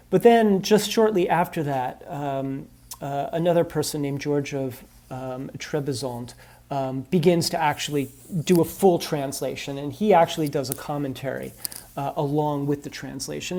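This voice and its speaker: Halting man with a mellow voice. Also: mid-range voice. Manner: hesitant, many ums and restarts. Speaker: interviewed expert. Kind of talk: recorded interview.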